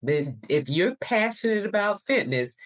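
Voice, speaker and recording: A middle-aged woman co-hosting a podcast in a casual, chatty and expressive way, repeating words for emphasis. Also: mid-range voice, measured pace. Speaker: co-host.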